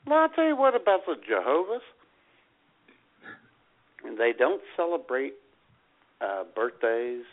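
A man's voice: a little high, wildly swooping pitch, unhurried, long pauses. A man co-hosting a comedy radio show, chatting casually in a folksy, irreverent storytelling way. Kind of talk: casual joking banter. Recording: narrow phone-like audio.

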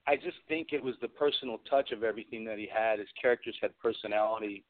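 A man's voice: fairly low pitch, fast. Man on a tinny phone line, telling anecdotes. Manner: enthusiastic, rambling storyteller. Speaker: guest, special effects artist and creature performer. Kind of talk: conversational anecdote telling in an interview. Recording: tinny phone-like radio call.

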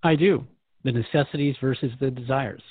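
A man's voice: mid-range voice, brisk, husky, dry recording, phone-quality sound. A man playing a curious, deferential interviewer, speaking in a polite, slightly hesitant conversational way in a scripted podcast. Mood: agreeable. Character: Jeff, curious, deferential male human interviewer.

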